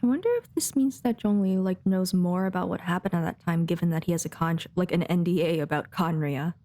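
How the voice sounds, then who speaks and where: A woman's voice: on the low side, fairly quick, mellow. A woman giving casual, chatty live commentary as a game streamer, with playful asides. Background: faint game music.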